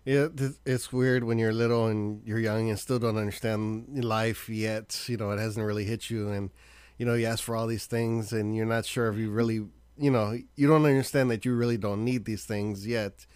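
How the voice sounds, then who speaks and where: A man hosting a radio podcast interview, his voice raspy and hoarse, and he belts out announcer-style intros. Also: on the low side, level tone, moderate pace, a little mellow, dry recording. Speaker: podcast host.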